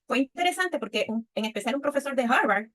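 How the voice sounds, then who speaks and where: A woman with a Puerto Rican accent speaks as a panelist in a fairly high voice. Her delivery is reflective and personal, with frequent little questions to check that listeners follow. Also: expressive, fast, a bit bright. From Puerto Rico. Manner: reflective, often tags phrases with a checking question. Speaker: panelist, women's leadership speaker.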